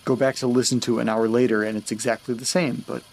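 A man's voice: mid-range voice, flat delivery, fast, lightly acted. A man playing a stammering, nervous young man. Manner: stammering, hesitant, hushed. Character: anxious young man haunted by memory loss. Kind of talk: audio drama acting.

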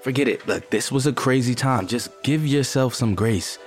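A man playing an easygoing, supportive husband in an audio drama, relaxed, reassuring and wry. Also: medium pitch, moderate pace, soft background music, lightly acted. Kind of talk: naturalistic audio drama acting.

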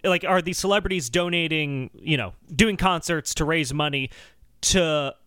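A man hosting a podcast, explaining things in a casual, animated and wry conversational way. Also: a little high, moderate pace, clear, resonant tone, dry recording. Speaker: podcast host.

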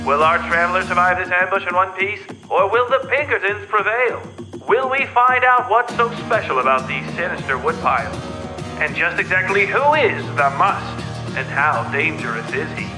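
High-pitched man announcing over prominent music. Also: lively intonation, moderate pace, rough recording. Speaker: podcast announcer. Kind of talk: scripted next-episode teaser.

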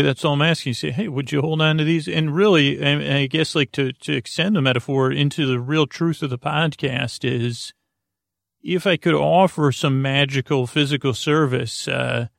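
A man's voice: gravelly, no room sound, clean studio sound. A man hosting a sleep podcast, rambling through a meandering intro with plenty of fillers and restarts. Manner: low-key, drowsy delivery. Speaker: podcast host.

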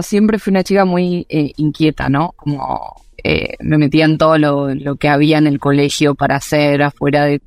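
Argentine woman in her 30s, interview guest, smooth and dry close sound, chatty and full of fillers. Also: sits low, a little mellow, no room sound. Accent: Buenos Aires Spanish. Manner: chatty, lots of fillers and restarts. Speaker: guest, startup founder. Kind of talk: casual interview answers.

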